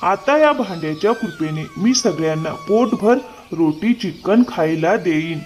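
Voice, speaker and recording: A man's voice: high-pitched, huge pitch swings, unhurried, soft background music, heavily acted. An actor playing a poor, good-hearted, playful working man in a cartoon story. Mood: hopeful.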